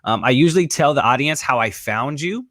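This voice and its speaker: A man's voice: mid-range voice, fairly quick, no echo, clean studio sound. A young man hosting a podcast, chatting casually and rambling with lots of fillers. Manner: relaxed, rambling with many fillers. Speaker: podcast host. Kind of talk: casual interview chat.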